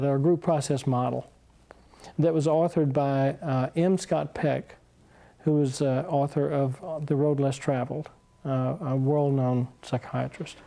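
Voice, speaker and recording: A man explaining in interview style, measured, pausing to find his words. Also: mid-range voice, creaky, dark tone.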